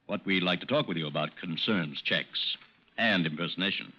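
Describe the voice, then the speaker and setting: A man's voice: sits low, normal speed, pushed and bright. A man playing a firm, matter-of-fact federal agent confronting a suspect in a 1940s radio drama. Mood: firm, dry. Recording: dull, band-limited old radio recording.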